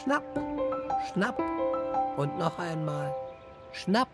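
An actor playing an anxious, wrecked family car that talks haltingly and repeats its words, in a children's radio play. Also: medium pitch, lively intonation, over loud music. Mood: urging. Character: wrecked talking family car, anxious, urging, machine character.

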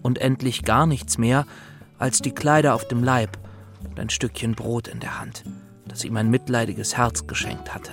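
A man's voice: medium pitch, steady tone, normal speed, music in the background, natural voice. A man playing a timid, bookish young scholar in a children's radio drama, kindly, earnest and a little hesitant. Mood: storytelling. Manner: hesitant, earnest, slightly fussy.

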